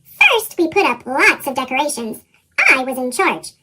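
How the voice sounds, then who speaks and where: A woman voicing an excitable young animal schoolchild from a picture book, bubbly and exclaiming, through a squeaky pitched-up chipmunk effect. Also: moderate pace. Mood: excited.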